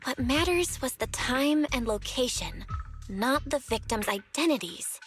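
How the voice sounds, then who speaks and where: A woman playing a caring, slightly bossy young woman in a video game, speaking in an expressive, conversational way. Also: high-pitched, measured pace, faint music behind. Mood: thoughtful, curious.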